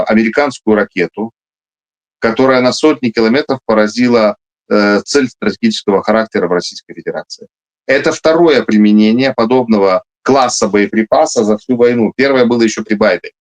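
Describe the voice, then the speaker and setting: A man with a fairly low voice gives long, emphatic interview answers. He often stops to hesitate and restart his phrases, then presses his point firmly. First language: Russian. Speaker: guest, military officer.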